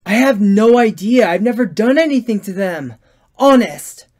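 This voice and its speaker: A woman's voice: medium pitch, animated, moderate pace, darkish tone, close to an everyday voice. A woman voicing an earnest, good-natured little male creature in a comic dub, talking like a chatty storyteller. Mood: earnest, defensive. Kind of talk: fan comic dub voice acting. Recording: music behind the voice.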